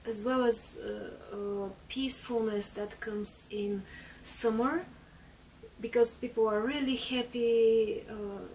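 A woman's voice: a little high, steady tone, takes it slowly, darkish tone, far from the mic, a little hiss or hum, rough recording. A woman in her thirties, a native Bulgarian speaker, chatting through a makeup tutorial in a small bedroom with lots of fillers and restarts. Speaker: beauty vlogger.

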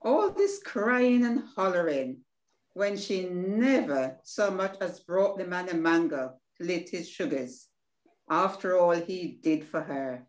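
A woman's voice: on the low side, expressive, slow pace. An older woman with a Caribbean accent reading an indignant, tender-hearted younger sister in a play, over a video call. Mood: nosy, indignant. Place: indoor room at home, on video call. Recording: roomy video call sound.

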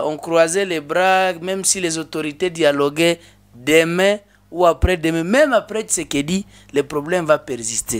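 A man in his thirties with a Congolese French accent, an interview guest in a small studio, speaking earnestly at a desk microphone with many restarts. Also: fairly high pitch. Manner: earnest, with frequent restarts and hesitations. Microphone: desk microphone on a boom arm.

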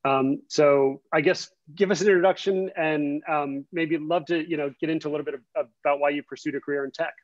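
A man's voice: fairly high pitch, steady tone, dry recording. Middle-aged man on a dull, dry call line. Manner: friendly, halting questions. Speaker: company co-founder interviewer. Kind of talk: interview moderation. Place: home office with bookshelves. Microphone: video call.

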